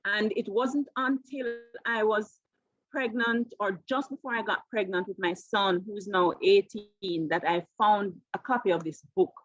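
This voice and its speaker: A woman's voice: medium pitch, fairly even intonation, measured pace. Jamaican woman in her fifties, dull audio. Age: 50s. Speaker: interview guest, keto coach. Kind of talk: conversational personal storytelling.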